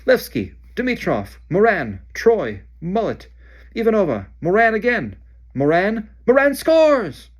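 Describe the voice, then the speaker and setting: Man doing a sports announcer guy, loud, dragging out the calls, high pitched. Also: wildly swooping pitch, unhurried, exaggerated voice. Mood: excited announcing. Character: booming adult male match commentator.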